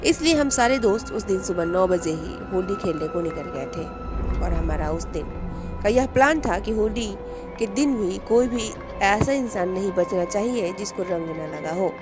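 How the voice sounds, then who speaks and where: Lady telling a scary story, gets dramatic then goes hushed, music going behind. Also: mid-range voice, lively intonation, moderate pace. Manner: dramatic, rising with suspense, hushed in tense parts. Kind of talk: first-person horror story narration. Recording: music bed under the voice.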